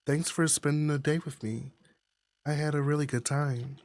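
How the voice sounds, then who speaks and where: A performer reading a visual novel voices a calm, devoted young romantic partner in a gentle, measured, reassuring way. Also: medium pitch, no echo, close to an everyday voice. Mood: warm.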